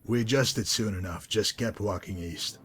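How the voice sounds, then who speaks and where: Man acting a low-voiced, menacing wasteland hitman. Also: fairly bright tone, slight background noise, lightly acted. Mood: bitter, reflective. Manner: measured, deliberate, coolly condescending. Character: menacing, calm male wasteland hitman with a bitter past. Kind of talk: dramatic video game mod monologue and dialogue.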